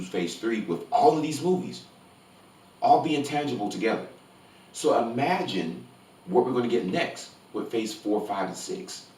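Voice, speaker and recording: A man's voice: mid-range voice, a little mellow, a little hiss or hum. A man giving a casual, enthusiastic film review to camera, gushing and emphatic, often repeating words for emphasis. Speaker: movie review vlogger. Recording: roomy echo, distant from microphone.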